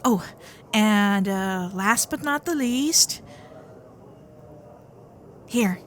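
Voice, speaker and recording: A woman's voice: medium pitch, lively intonation, a little hiss or hum. A woman voicing a sweet, shy, protective kitsune girlfriend in an ASMR roleplay, speaking in a hesitant, caring way. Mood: bashful, teasing. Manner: hesitant, flustered, caring, softly halting.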